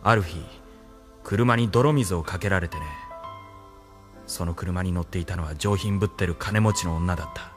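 Music sits behind a low male voice: a man acting a cynical, ambitious military officer who clawed his way up from the slums. He speaks with cool, mocking self-assurance, in polished anime audio drama style. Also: normal speed, lightly acted. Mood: intense, resolute.

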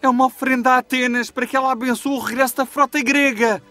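A man's voice: very high-pitched, steady tone, quick pace, sharp tone. A man with a European Portuguese accent voicing a young, cunning Greek captive in a dubbed cartoon, earnest and dramatic. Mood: earnest, scheming. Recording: music behind the voice.

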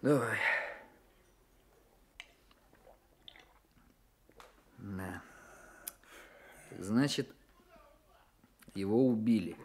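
A man's voice: lowish voice, extremely slow, a little mellow, slight background noise. An actor playing a chatty, easygoing, streetwise working man in a Russian radio drama, talking in breezy colloquial bursts. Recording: music playing behind the voice.

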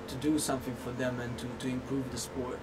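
A young man with a Romanian accent gives interview answers in an even tone. He feels his way through sentences, repeating words, with clear outdoor vehicle noise behind him. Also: fairly quick. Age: 20s. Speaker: assistant football coach being interviewed. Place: outdoors in front of bushes. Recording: noisy outdoor recording with vehicle rumble.

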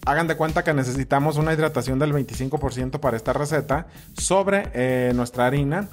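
A man's voice: mid-range voice, brisk, faint music behind. A man in his thirties with a Mexican accent, narrating a recipe casually in a chatty, informal way. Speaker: cooking video host.

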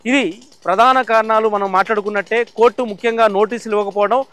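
A man's voice: high voice, fairly even intonation, fast, fairly bright tone, clear, resonant tone. A man reporting the news from a site, explaining step by step with fillers and restarts.

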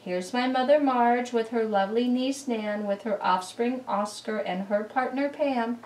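A middle-aged woman reading a rhyming picture book aloud to children in an expressive, sing-song way. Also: a little high, measured pace. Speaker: read-aloud storyteller for children. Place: room at home beside a Christmas tree. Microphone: camera mic at some distance. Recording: roomy, distant camera recording.

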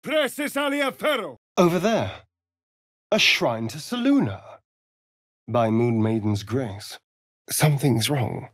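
A suave British vampire rogue for a fantasy game. His delivery is theatrical and sardonic, unhurried yet swinging widely in pitch. The sound is close and dry, full of vanity and arch emphasis. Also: slightly rough, dry recording. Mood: commanding incantation. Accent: British English. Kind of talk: video game character voice acting.

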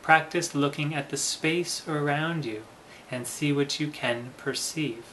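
A man teaching a guided meditation in a solemn, deliberate manner, with formal, measured phrasing. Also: a little high, a bit bright, a little hiss or hum.

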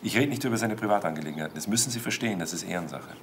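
A man playing a smooth, haughty count in a crime drama, persuasive and self-important. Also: low-pitched, expressive, very fast, slight background noise. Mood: guarded. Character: smooth-talking, haughty male count and property promoter. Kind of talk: German TV crime drama acting.

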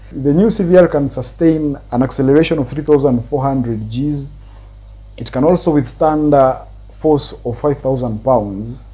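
A man in his thirties presenting a technical tutorial, explaining step by step in deliberate, short phrases with a husky voice. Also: medium pitch, warm tone, rough recording. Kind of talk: explanatory lecture.

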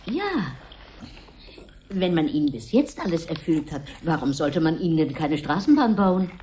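Elegant, persuasive lady, lowish and animated, seventies radio drama. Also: normal speed, a little hiss or hum. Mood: encouraging. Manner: persuasive, chatty, self-assured. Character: elegant, demanding lady guest with expensive tastes. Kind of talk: 1970s German radio play.

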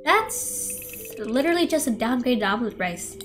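A woman voicing an earnest, teasing schoolgirl in a visual novel, soft-hearted and playfully bossy. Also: fairly high pitch, big pitch swings, moderate pace. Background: game music.

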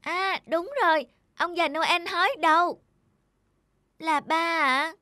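An actor voicing an earnest, pleading little boy in an animated children's story, speaking in an insistent, childlike way. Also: very high-pitched, slow, noisy background. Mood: wondering, affectionate.

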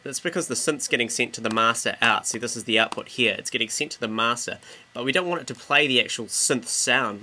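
A man from New Zealand giving a casual software tutorial as a music production tutor, informally explaining and rephrasing himself as he goes. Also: medium pitch.

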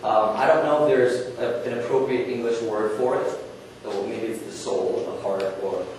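A man's voice: medium pitch, steady tone, normal speed, a little mellow. A young man giving a conference workshop talk to an audience, earnest and conversational, asking questions and using casual fillers. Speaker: workshop presenter. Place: conference room, speaking to an audience. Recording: echoey room, distant mic, faint noise.

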